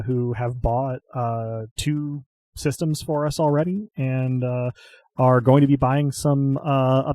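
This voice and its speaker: A man hosting and narrating a tabletop game podcast as game master, talking haltingly with lots of fillers and easy chuckles. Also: mid-range voice, mellow, no echo.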